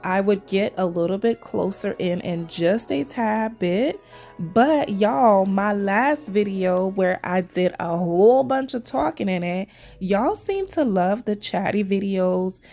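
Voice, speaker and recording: Gravelly-voiced chatty woman from Trinidad. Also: medium pitch, expressive, slow pace, warm tone, faint music behind. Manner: chatty, addresses viewers as "y'all" often. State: recovering voice, slight throat tickle. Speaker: nail vlogger. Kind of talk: casual chatty voice-over.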